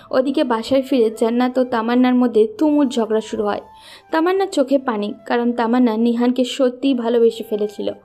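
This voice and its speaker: A woman's voice: fairly high pitch, warm tone. A woman narrator reading a scripted love story.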